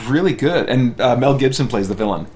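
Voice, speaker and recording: A man's voice: medium pitch, animated, normal speed, darkish tone. American man chatting, noisy background. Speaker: video game streamer. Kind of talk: casual chat while playing a game.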